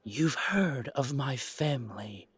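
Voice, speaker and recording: Man voicing a measured, firm elf shopkeeper. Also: medium pitch, animated, breathy edge, dry recording, exaggerated voice. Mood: firm warning. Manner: measured, polite but firm. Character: composed elf shopkeeper, firm and quietly protective. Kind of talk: audio drama acting.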